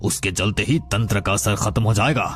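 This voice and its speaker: Man voicing a solemn low-voiced occult priest. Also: brisk, fairly bright tone, a little hiss or hum, lightly acted. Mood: solemn, instructive. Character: solemn male occult priest giving ritual instructions.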